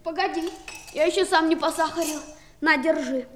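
A woman's voice: high voice, moderate pace, a little hiss or hum, close to an everyday voice. A woman playing a stubborn, eager little boy in a 1960s audio play, with childlike, insistent speech.

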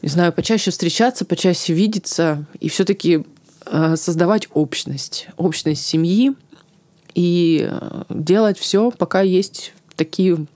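A woman's voice: on the low side, measured pace, darkish tone, no room sound. Female podcast host, shaky tearful voice, hesitant and heartfelt, dry close-miked sound. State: voice trembling from tears. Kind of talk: podcast conversation and sign-off.

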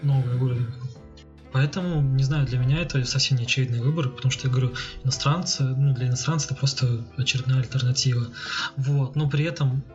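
A man's voice: mid-range voice, steady tone, quick pace, darkish tone. A man co-hosting a casual discussion, arguing his point while thinking aloud. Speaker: podcast co-host. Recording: muffled sound with music behind. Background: music.

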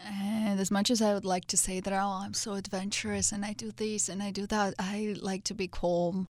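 A woman's voice: mid-range voice, little pitch movement, moderate pace, darkish tone, no room sound, clean studio sound. A woman, musing thoughtfully as an interview guest. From Georgia. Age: 20s. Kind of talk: casual interview answers.

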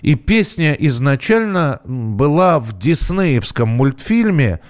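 A man's voice: a little high, very animated, takes it slowly. A man hosting a casual live radio phone-in, talking in a hesitant way as if thinking aloud. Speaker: radio host.

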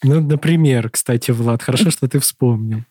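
A man of thirty hosts a casual podcast in a husky, breathy voice. He rambles through stories and keeps correcting himself. The sound is dry and close. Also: mid-range voice, normal speed, mellow, dry recording. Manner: rambling, self-correcting storyteller. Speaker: podcast host.

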